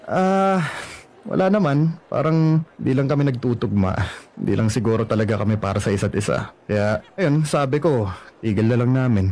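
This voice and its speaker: A man's voice: medium pitch, moderate pace, lightly acted. A man playing a wistful, lovelorn young ex. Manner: wistful, gently probing. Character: lonely, wistful young man still in love. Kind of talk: radio drama acting.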